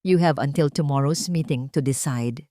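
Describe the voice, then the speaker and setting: A woman's voice: low voice, moderate pace, warm tone, no echo, studio quality, close to an everyday voice. A woman playing an old male board chairman in an audio drama, cold and straight to the point. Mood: cold, stern.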